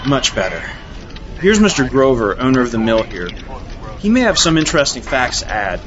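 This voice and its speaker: A man's voice: mid-range voice, very animated, over a noisy crowd, lightly acted. A man playing a rambling, easily sidetracked on-scene reporter in a comedy radio news parody. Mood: flustered. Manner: halting, repetitive, easily sidetracked. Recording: noisy lo-fi outdoor field report.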